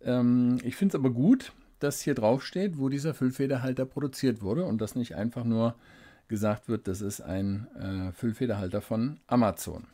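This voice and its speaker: A man with a dark, mellow voice talks through an unboxing at his desk, close to a studio microphone. He thinks aloud at an unhurried pace and drops small fillers as he handles the package. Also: mid-range voice. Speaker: fountain pen reviewer. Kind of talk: casual unboxing commentary. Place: indoors at a desk, hands-only camera.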